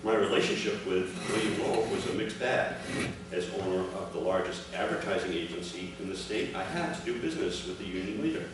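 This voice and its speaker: Echoey, distant, low-voiced man reading aloud. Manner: measured public delivery in short, separated phrases. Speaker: reader of his father's written memoir at a commemoration. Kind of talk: reading a prepared first-person account aloud to an audience. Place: large echoey room at an event, recorded from afar. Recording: echoey room, distant microphone, clear background noise.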